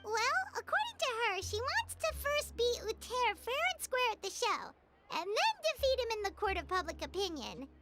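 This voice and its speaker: Squeaky, tiny childlike fairy companion. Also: female voice, very animated, normal speed. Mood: earnest, chatty. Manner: speaks of herself in the third person. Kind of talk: anime-style video game acting. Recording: loud music behind the voice. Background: music.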